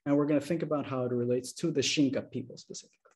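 A man in his thirties giving a measured, explanatory academic lecture over a video call, checking in with his listeners. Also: medium pitch, steady tone, a little mellow. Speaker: linguistics lecturer. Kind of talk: academic slide presentation. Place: small room indoors. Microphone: video call webcam.

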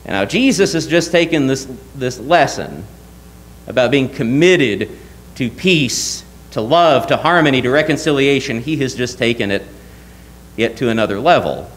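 A man's voice: mid-range voice, animated, normal speed, a little hiss or hum, poor audio. A young man preaching a sermon from a church pulpit, earnest and emphatic, often asking rhetorical questions. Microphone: lectern mic, wide camera.

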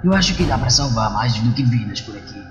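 A man's voice: mid-range voice, expressive, brisk, dull audio, a little hiss or hum. A man with a Brazilian accent giving dramatic narration of a comic book. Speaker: narrator and voice performer. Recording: echoey, reverberant, music underneath.

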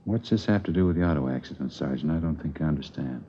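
An American actor playing a grieving, bewildered middle-aged father in a 1950s radio drama, subdued, husky and politely defensive. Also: very deep voice, lively intonation, fast talker, slight background noise. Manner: subdued, puzzled. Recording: dull vintage radio recording.

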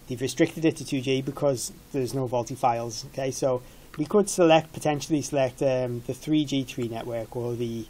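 A man's voice: mid-range voice, darkish tone. A man in his thirties giving an informal tech product walkthrough in a matter-of-fact, explanatory way. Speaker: product demonstrator.

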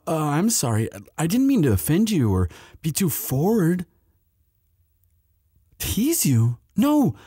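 A man voices a young male demon student, sweet and protective, in an intimate audio roleplay. His voice is soft-edged and husky, with lively pitch movement. It is recorded dry and close. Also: dry recording, heavily acted. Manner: hesitant stammering when shy, firm when angry.

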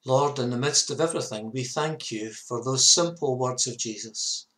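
A man in his fifties, a minister giving a measured, earnest reflective sermon to camera in a small study. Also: medium pitch, pushed and bright. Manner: measured, earnest, with short repeated phrases. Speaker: parish minister. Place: small home study, indoors.

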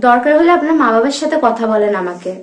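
A young woman with a high-pitched voice speaks with a West Bengal Bengali accent. She is polite but keeps pushing persuasively, as if on a staged prank call. The room adds clear echo. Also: animated, fast talker. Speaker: prank caller, vlogger. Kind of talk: staged phone prank conversation.

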